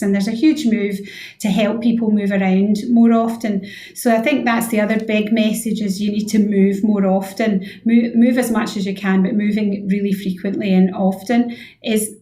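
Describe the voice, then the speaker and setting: A woman's voice: mid-range voice, a little mellow. Woman explaining earnestly in an echoey room. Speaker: interview guest, head of physiotherapy. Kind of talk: informal radio interview, explaining health advice. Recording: roomy, echoey sound.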